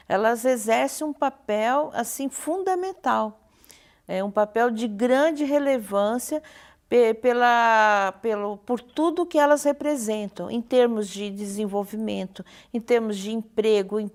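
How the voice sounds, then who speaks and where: A woman with a Brazilian Portuguese accent answering as an academic guest in an interview, explaining in halting phrases with repeated words and checking tags. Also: mid-range voice, a little mellow. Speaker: university professor and communication researcher, interview guest.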